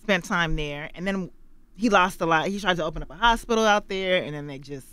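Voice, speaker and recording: Candid, smooth-voiced woman guest. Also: fairly low pitch, brisk, dry recording. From Los Angeles, USA. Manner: candid, animated storytelling with laughs. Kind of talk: radio interview.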